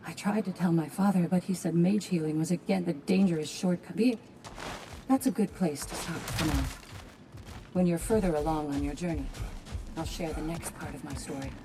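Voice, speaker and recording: A woman playing a composed, earnest warrior in a video game, telling stories in a measured way. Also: on the low side, over music, natural voice, low-quality recording. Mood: gently reserved. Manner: measured storytelling.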